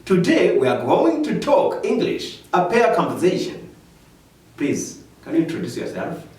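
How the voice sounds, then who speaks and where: A man with a Rwandan accent teaching English in a slow, careful way, switching into Kinyarwanda to explain. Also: sits high. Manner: slow, careful explaining, lively rising questions. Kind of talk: bilingual language lesson. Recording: echoey room, mic at a distance.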